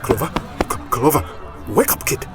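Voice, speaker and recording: A gruff older man who looks after a kid in a cinematic audio drama. His pitch moves freely over a dramatic musical bed. He sounds protective and streetwise. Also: wildly swooping pitch, moderate pace, over music. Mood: urgent.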